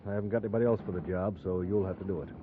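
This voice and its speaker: Actor as a stern army captain, muffled old radio. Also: male voice, deep voice, steady tone, natural voice. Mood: commanding. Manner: brisk, clipped, authoritative. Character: stern, cautious US Army captain, commanding, skeptical. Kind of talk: 1950s radio drama acting. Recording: muffled 1950s radio, faint noise.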